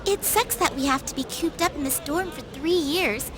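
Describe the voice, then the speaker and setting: A woman playing a chatty, outspoken high school classmate in a video game, talking in a casual, expressive way. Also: moderate pace, crowd noise, close to an everyday voice. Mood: breezy griping.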